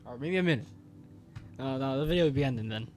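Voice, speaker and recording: A man's voice: mid-range voice, quick pace, soft background music. A man giving casual, slangy reaction commentary on a horror video in an informal, uneasy manner.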